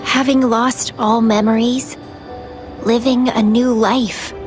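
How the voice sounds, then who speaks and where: Playful, unhurried young enigmatic woman. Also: on the high side, level tone. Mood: teasing, tender. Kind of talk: video game character acting. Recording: music behind the voice.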